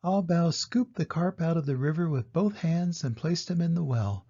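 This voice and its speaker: Older male storyteller for kids' picture books, creaky, mellow tone, slightly dull recording. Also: on the high side, normal speed. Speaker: storyteller reading to children. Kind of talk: scripted children's storybook narration.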